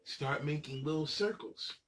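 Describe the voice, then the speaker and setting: A man with a high voice presents a children's show at a very unhurried pace. He is playful and encouraging, often repeating short phrases in a sing-song way as he leads young viewers along. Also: fairly bright tone, a little hiss or hum. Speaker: children's storytime host.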